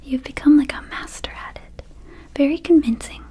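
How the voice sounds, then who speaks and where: A quirky little vampire shopkeeper, played by a woman in an intimate ASMR roleplay. She speaks in a soft, mellow whisper with halting, affectionate delivery, as if confiding in a dear friend. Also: sits high, lightly acted. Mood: affectionate, sheepish. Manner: gentle, halting, intimate, addressing the listener as a friend. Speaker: ASMR performer.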